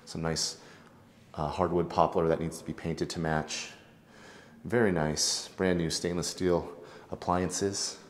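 Brief: male realtor, deep low voice, easygoing tour patter, faint background hiss. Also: takes it slowly. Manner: relaxed, chatty, lots of filler words. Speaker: real estate agent giving a home tour. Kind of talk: casual walkthrough narration. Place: house, indoors and outdoors.

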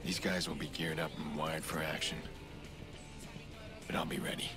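An actor playing a terse, guarded vigilante hacker in a video game, with a gravelly murmur. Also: male voice, very deep voice, flat delivery, moderate pace, loud music behind the voice. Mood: determined. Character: gruff brooding vigilante hacker, grown man, guarded and determined.

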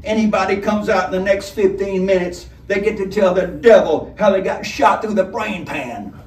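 A threatening, folksy highway robber from a storybook, acted out by a man reading aloud, lays down rough orders in a raised pitch. The sound is roomy and set back from the microphone. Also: moderate pace, a little mellow, lightly acted. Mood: menacing. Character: menacing, swaggering male highway robber making rough threats. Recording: roomy, distant microphone, some noise.

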